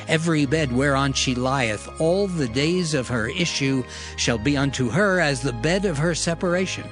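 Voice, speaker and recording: A man narrating scripture in a solemn, measured way, as in a dramatized audio Bible. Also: a little high. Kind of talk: dramatized King James Bible reading. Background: music.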